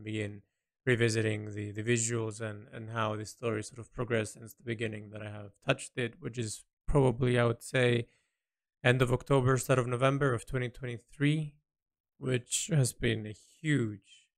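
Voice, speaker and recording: A man recording a reflective spoken diary, speaking earnestly and haltingly as he searches for his words. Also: medium pitch, level tone. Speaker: artist keeping an audio log.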